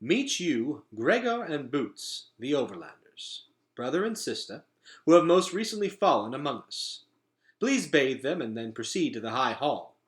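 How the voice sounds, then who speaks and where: A man voicing a calm, commanding leader in a storybook, with stately, formal and archaic phrasing. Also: mid-range voice, normal speed, pitched above the performer's own voice, lightly acted. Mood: formal welcome. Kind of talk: acted storybook dialogue.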